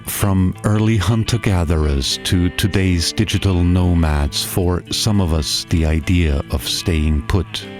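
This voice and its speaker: Deep, slow man musing. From Austria. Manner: slow, measured, musing questions. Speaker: travel vlogger narrator. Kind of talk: reflective scripted voice-over narration. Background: guitar music.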